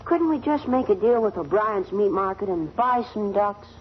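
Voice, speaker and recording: An actor playing a wisecracking, drily sarcastic young son in a 1940s radio family comedy. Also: male voice, high voice, normal speed. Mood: deadpan, wry. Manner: dry deadpan wisecracking. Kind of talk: 1940s radio sitcom acting. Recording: muffled, very noisy old radio recording.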